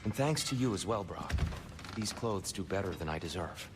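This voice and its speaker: An actor playing a gentle, humble, courteous counsellor with formal, soft-spoken phrasing in a video game, in a reverberant space. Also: male voice, low voice, expressive, moderate pace, noisy background. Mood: gentle, gracious.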